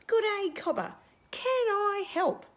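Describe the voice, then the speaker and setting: Lady being a croaky wee frog in a kids story, proud and helpful, takes it slow. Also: very high-pitched, very animated, pitched above the performer's own voice, exaggerated voice. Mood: friendly. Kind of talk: children's storybook character voice.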